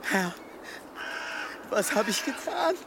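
An actor playing an anguished, guilt-ridden monk in a German radio drama, halting and repeating himself. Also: male voice, high-pitched, big pitch swings, vocal fry, background noise, exaggerated voice. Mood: anguished prayer.

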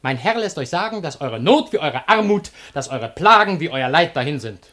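A man's voice: fairly high pitch, big pitch swings, moderate pace, pushed and bright. A man playing a cheeky, glib slave of ancient Greece, declaiming with mockery in a German classical comedy radio play. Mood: proclaiming. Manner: declamatory, mocking.

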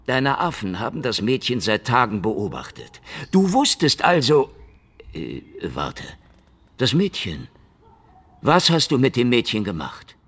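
An actor playing a cynical, world-weary Soviet secret-police detective in a hardboiled radio drama, sardonic and slangy. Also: male voice, medium pitch, sing-song, a little slow, fairly bright tone, breathy edge, full character voice. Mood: sardonic, challenging. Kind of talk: noir radio drama acting and narration.

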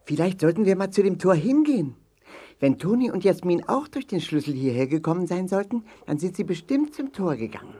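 A woman's voice: fairly low pitch, sing-song, brisk, dark tone, slightly rough. A woman voicing a friendly, determined leader of adventurers in a German children's audio play, speaking eagerly. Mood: curious.

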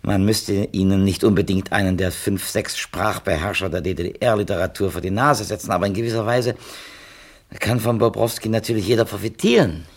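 An actor playing a well-meaning, talkative publisher's editor in a 1970s German radio play, speaking in wordy, tentative phrases. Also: male voice, on the low side, fairly even intonation, moderate pace. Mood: tentative, advising. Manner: wordy, tentative, self-qualifying.

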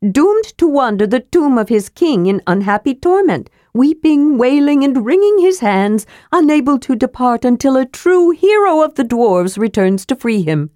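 A woman voicing a small, cheeky male kender who fibs excitedly, chirpy and bouncy. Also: high-pitched, moderate pace, hardly any pauses, no room sound, clean studio sound, voice pushed up. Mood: playful.

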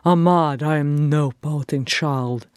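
A sulky, proud male dwarf from a fantasy audiobook, acted out by a woman. The voice is low and rough, with a dark tone. The wording is curt and archaic. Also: flat delivery, a little slow, dry recording, studio quality. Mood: irritated. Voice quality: rough, gruff. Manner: curt, formal, archaic speech.

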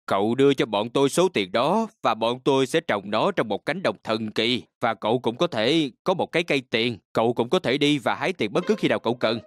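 A man voicing a sly, smooth-talking fox swindler in a children's storybook cartoon. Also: medium pitch, expressive, fairly quick, hardly any pauses, no room sound, clean studio sound, natural voice. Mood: coaxing.

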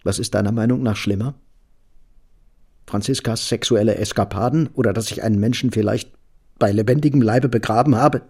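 A man's voice: on the low side, fairly quick, darkish tone, husky. An actor playing a disillusioned, timid middle-aged architect and father in a radio drama, speaking in a subdued, terse way. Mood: grave, confiding. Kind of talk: German radio drama acting.

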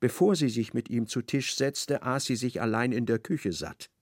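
A man narrating a literary radio play in a measured, precise storytelling manner. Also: mid-range voice, lively intonation, dry recording, clean studio sound.

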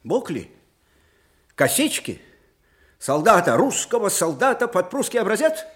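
Актёр играет бойкого, простонародного, душевного и колючего старого русского полководца в советской радиопостановке. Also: male voice, sits high, sing-song, unhurried. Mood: brooding, indignant. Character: spry, folksy old Russian field marshal, warm and peppery. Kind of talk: 1980s Soviet radio drama acting.

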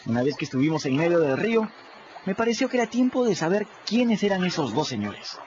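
A man with a Peruvian accent narrating a story in the first person in an expressive, storytelling manner. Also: sits high, brisk, noisy background. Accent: Peruvian Spanish. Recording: dull audio with music underneath.

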